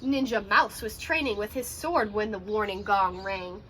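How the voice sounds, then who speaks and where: A woman's voice: medium pitch, moderate pace, fairly bright tone, a little hiss or hum. A woman in her twenties reading a children's storybook aloud expressively, with dramatic, careful pacing. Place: outdoors on a porch by a brick wall.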